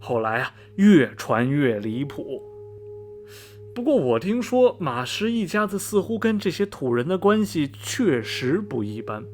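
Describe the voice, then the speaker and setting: A gossipy middle-aged townsman in a radio drama, acted by a man. His voice sits fairly high and swoops expressively through sighing exclamations. He is skeptical yet repelled, stretching phrases for effect. Faint music plays behind him. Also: a bit bright. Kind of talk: radio drama acting, animated storytelling.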